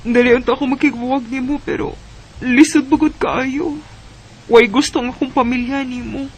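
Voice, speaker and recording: A woman's voice: sits high, fairly even intonation, moderate pace, breathy edge. An actress playing a young woman torn between love and family in a sentimental radio drama, with a Filipino Bisaya accent. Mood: sorrowful.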